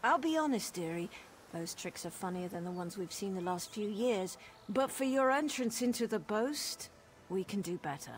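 A woman's voice: medium pitch, lively intonation, moderate pace, slight background noise, lightly acted. An actress playing a mischievous elf woman in a fantasy game who plots pranks in a conspiratorial, gleeful way. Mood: scheming. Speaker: quest giver in a fantasy game. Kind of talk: game dialogue.

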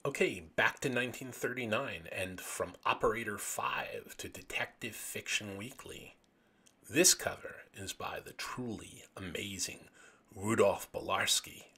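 Gravelly, low-voiced man narrating. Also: a little slow, pushed and bright. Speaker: host of a video series on vintage pulp magazines. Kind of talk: narrated illustrated commentary.

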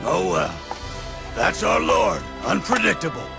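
An actor playing a big, good-natured, blustery warrior in a video game, exclaiming guilelessly. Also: male voice, fairly high pitch, huge pitch swings, a little slow, vocal fry, over music, exaggerated voice. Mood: resigned. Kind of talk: exaggerated English video game dub acting.

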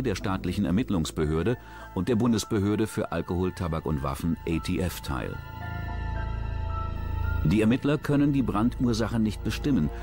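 Ein Mann spricht als Erzähler eine Dokumentation, ruhig und sachlich vorgetragen. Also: male voice, lowish voice. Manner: measured, sober narrating. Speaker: documentary narrator. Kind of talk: scripted documentary narration. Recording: voice-over with music underneath.

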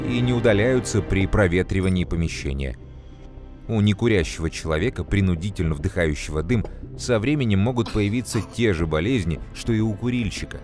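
A deep-voiced adult male mentor from an educational children's cartoon, played by an actor. He explains calmly and precisely in a measured, teacherly way. Music plays behind him. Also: close to an everyday voice. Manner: measured, didactic, clearly enunciated.

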